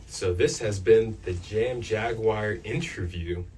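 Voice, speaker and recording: Deep-voiced man hosting radio, slightly distant. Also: slow pace, a little mellow. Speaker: student radio host. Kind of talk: live radio interview hosting. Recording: distant mic with faint noise.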